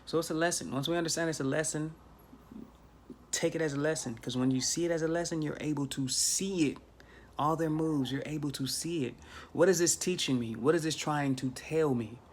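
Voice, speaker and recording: A man's voice: a little high, a little fast, a little hiss or hum. A man in his thirties talking casually into a phone camera in a small bedroom, preachy and emphatic, repeating himself for effect. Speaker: spiritual vlogger. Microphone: phone camera at arm's length.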